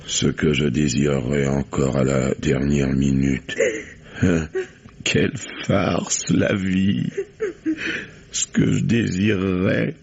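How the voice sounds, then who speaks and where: A man's voice: a bass voice, gravelly, darkish tone, full character voice. An actor playing a desperate, guilty man who is bitter and lovesick, in a 1960s French radio drama. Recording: dull old radio recording with faint hiss.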